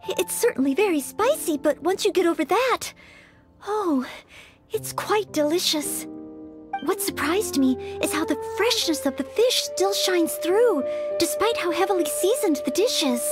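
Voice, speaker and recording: An actress voicing a polite, earnest, anxious young maid-knight in an anime-style video game, courteous and a little hesitant. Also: female voice, very high-pitched, expressive, measured pace, over music. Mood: pleased, polite.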